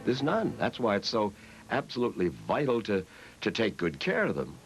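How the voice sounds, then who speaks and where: An older man with an American accent, reminiscing in an interview in an emphatic, measured storytelling way. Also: fairly low pitch, huge pitch swings, low-quality recording. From Massachusetts, United States. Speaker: interviewee recalling the past. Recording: music playing behind the voice. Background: music.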